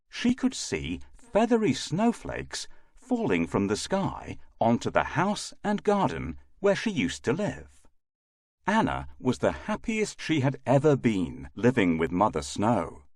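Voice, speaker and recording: Casting: male fairy-tale narrator for children, unhurried, hugely varied intonation, dry close sound. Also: mid-range voice, a little mellow, no room sound. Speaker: storyteller, narrator.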